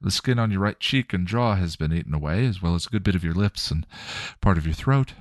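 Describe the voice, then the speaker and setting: Guy voicing soft kind mom ghost, soothing, deep dry voice. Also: expressive, brisk, dry recording. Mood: gentle. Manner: soothing, gentle. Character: gentle kind female spirit, a mother.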